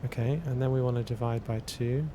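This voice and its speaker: A man chats on a live stream, thinking out loud and often repeating himself as he works through math problems. His voice sits at an ordinary middle pitch. A steady low rumble of noise runs under the recording. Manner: thinking aloud, halting, repeats words. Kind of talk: casual live-stream chat, reading chat and working math aloud. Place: cold indoor room.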